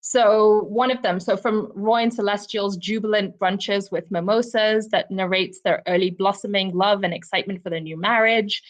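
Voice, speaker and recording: A woman from Zimbabwe, a professor co-presenting a virtual book club, reflecting personally on a novel with frequent ums and you knows. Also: medium pitch. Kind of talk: virtual book discussion talk. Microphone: video call.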